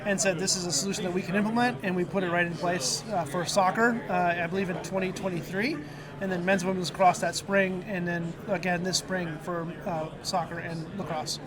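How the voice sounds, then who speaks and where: High-voiced man over busy crowd chatter. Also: steady tone, brisk. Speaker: university video production staffer, interviewee. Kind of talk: explanatory interview answers. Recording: busy crowd noise behind. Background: convention crowd chatter.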